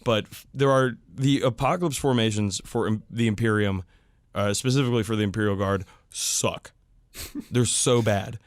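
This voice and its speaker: A man's voice: lowish voice, normal speed, no echo, studio quality. A man chatting casually as a podcast co-host, griping in a profane, exasperated way. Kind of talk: casual chat about tabletop wargaming.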